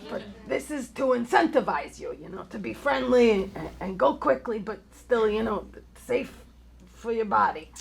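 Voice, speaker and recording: A woman plays a divorced male bar owner in his fifties with a very creaky, slightly raised voice. He is awkward and kindhearted. He hesitates and rambles as in a live comedy table read. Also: a little high, animated, normal speed, low-quality recording. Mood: earnest, gentle. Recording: live stage reading before an audience.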